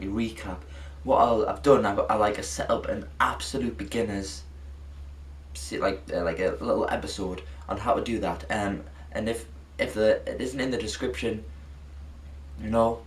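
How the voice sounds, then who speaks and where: A man presenting an informal video lesson in a halting, rambling way, often correcting himself. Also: on the low side, steady tone. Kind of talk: informal spoken introduction to a lesson. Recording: echoey, distant mic, faint noise.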